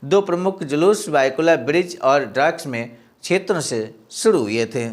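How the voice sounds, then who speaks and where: A man's voice: a little high, animated, moderate pace. A man narrating, reading a historical speech report aloud in a formal way and addressing listeners respectfully.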